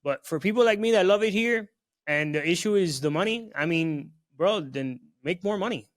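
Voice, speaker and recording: A young man hosts a casual podcast, his voice sitting a little higher than most men's. He chats loosely and easily, filling his talk with "bro" and "you know what I mean." He comes from South Florida. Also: expressive, normal speed. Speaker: podcast host. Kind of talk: casual chat.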